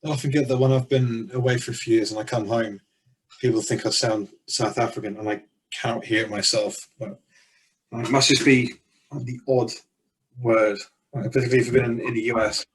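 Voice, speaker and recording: A man hosting a casual podcast, asking relaxed, conversational questions. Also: mid-range voice, fairly quick. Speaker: podcast host. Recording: voice distant from the mic.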